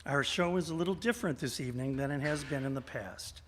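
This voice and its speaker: A man's voice: medium pitch, quick pace, fairly bright tone, a little hiss or hum. A man hosting a live radio theater show from a church, folksy and tongue-in-cheek, with theatrical emphasis. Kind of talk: live stage hosting, storytelling. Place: large church sanctuary, indoors. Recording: reverberant church sanctuary.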